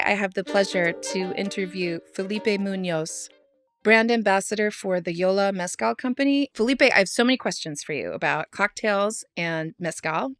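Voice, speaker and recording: A woman's voice: mid-range voice, fairly bright tone, no room sound. A woman hosting a podcast, opening the show and asking a guest questions in a friendly way. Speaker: podcast host.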